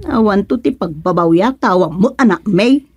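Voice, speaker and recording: A woman's voice: medium pitch, lively intonation, normal speed, breathy edge, no room sound. A woman playing an aggrieved, stubborn wife in a radio drama-comedy, plaintive and emphatic, with an Ilocano accent. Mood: stubborn, insistent.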